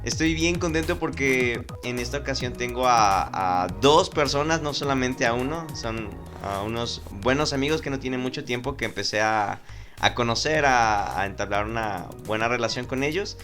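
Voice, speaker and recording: A man hosting an interview, halting and repeating words as he welcomes guests. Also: medium pitch, fairly bright tone, faint music behind. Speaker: host.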